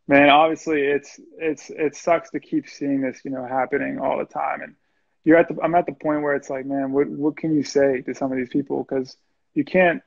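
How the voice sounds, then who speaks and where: A man's voice: medium pitch, creaky, muffled recording. A young man, a musician guest, speaking earnestly and reflectively in a casual video-call interview. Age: young adult. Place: small room indoors. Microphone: video call, earbuds.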